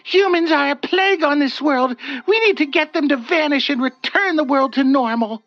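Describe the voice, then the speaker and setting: A storyteller voicing a panicked, tearful young woman alone at sea, pleading in a high, strained voice. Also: moderate pace, no echo, muffled recording. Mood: desperate. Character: panicked young female sailor, tearful, zealous.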